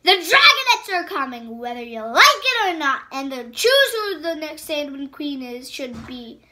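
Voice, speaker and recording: A woman's voice: a little high, fairly even intonation, takes it slowly, slight background noise. Woman voicing a defiant captive male ice dragon. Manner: strained, pleading defiance. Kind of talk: storybook read-aloud voice acting.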